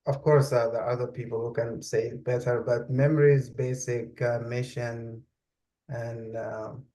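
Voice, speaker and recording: A man, speaking English as an in an interview. He talks slowly and deliberately, pausing often to search for the right words, at a middle pitch for a man. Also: little pitch movement, dark tone, poor audio. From Saudi Arabia. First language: Arabic. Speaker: guest expert. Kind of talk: interview conversation.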